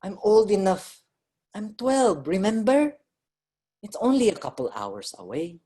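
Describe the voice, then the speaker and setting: A woman's voice: mid-range voice, big pitch swings, measured pace, dark tone, slightly rough. A woman playing an earnest young Filipino immigrant writer who recalls his boyhood in a dramatic staged reading. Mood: resolute. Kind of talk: staged reading, storytelling narration and dialogue.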